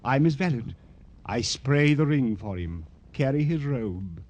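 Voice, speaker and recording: An actor playing a fussy, prim English valet with formal manners, in old radio drama style. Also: male voice, mid-range voice, animated, a little slow, darkish tone. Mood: fussy, polite. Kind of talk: 1950s radio crime drama acting. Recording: muffled, dull old radio recording.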